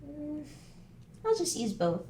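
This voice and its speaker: A woman voicing an energetic, indecisive fourteen-year-old witch at an animation table read, earnest and dramatic. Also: sits high, slow, exaggerated voice. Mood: unsure. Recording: roomy, distant mic, background noise.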